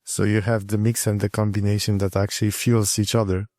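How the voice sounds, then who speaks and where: A man's voice: lowish voice, level tone, fairly quick, a little mellow, no room sound, studio quality. A man hosting a podcast interview, asking long, winding questions with frequent hesitations. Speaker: podcast host.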